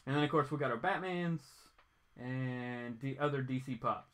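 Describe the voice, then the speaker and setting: A man hosting a casual livestream, chatting to viewers in a rambling, animated, geeky way. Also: medium pitch, normal speed. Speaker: host of a comics reading show.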